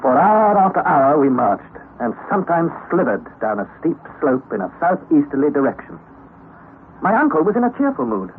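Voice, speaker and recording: An actor playing Harry, a young nephew narrating an expedition, in a 1930s radio drama, on a tinny old recording. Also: male voice, a little high, very animated, a little hiss or hum. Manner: measured storytelling narration.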